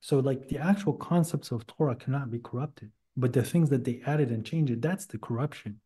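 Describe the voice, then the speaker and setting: A man's voice: medium pitch, a little fast, warm tone, husky. A man arguing a religious point informally as a debate guest, explaining it insistently. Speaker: debate guest defending Islam.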